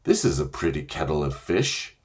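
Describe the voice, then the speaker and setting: Male reader as a young girl; brisk, urgent, lifted pitch over a husky tone. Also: very animated, darkish tone, full character voice. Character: brave, practical young schoolgirl.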